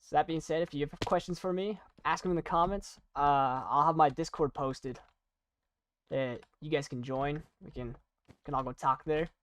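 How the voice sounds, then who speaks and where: A young adult chatting casually while playing and commentating on a video game, rambling in a halting, self-deprecating way. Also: medium pitch, fairly even intonation, fairly bright tone. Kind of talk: casual gaming commentary and chat.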